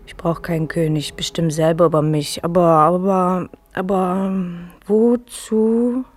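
A lonely night guard, this woman speaks slowly with a husky edge. She is timid and self-mocking, hesitating and repeating herself as she broods in a radio drama. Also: on the low side, fairly even intonation, a little mellow, no room sound. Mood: brooding, self-mocking. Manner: hesitant, repeating words, musing. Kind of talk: German radio play acting.

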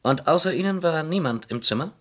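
An actor playing a polite, persistent insurance investigator in a German radio crime play, questioning courteously and deliberately. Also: male voice, fairly high pitch, lively intonation. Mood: courteous, probing.

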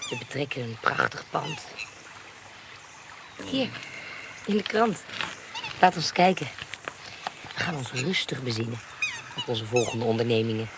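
A woman's voice: very deep voice, wildly swooping pitch, measured pace, pauses often, full character voice. An actress playing a vengeful, commanding woman adventurer and schemer in a radio drama. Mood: brisk, self-assured. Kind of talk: Dutch radio drama acting. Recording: noisy radio drama with background ambience.

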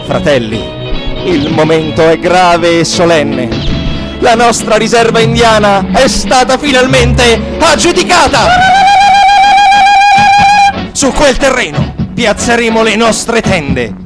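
A pompous mafia boss posing as a great chief in a radio satire. His high male voice declaims slowly and grandly over music. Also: expressive, poor audio. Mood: pompous, solemn. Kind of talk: satirical radio theatre speech.

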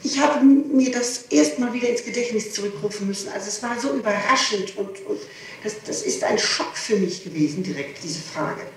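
A woman's voice: a little high, expressive, normal speed. A woman being questioned as a suspect, answering with emphatic, repeated protests on an old interrogation tape from the 1960s. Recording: noisy, echoey, distant 1960s tape recording.

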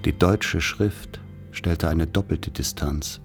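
A man as the reflective narrator of a radio play, recalling rural schooldays in measured, articulate literary prose. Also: extremely low pitch, faint music behind, exaggerated voice.

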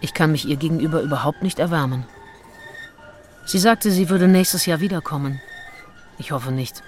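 Actress as candid, willful diarist over music. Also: female voice, low-pitched, moderate pace, darkish tone, close to an everyday voice. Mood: frank, melancholic. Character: Anne Lister, candid, passionate, willful Englishwoman reading her diary. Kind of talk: radio play, intimate diary monologue. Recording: studio radio play with music behind.

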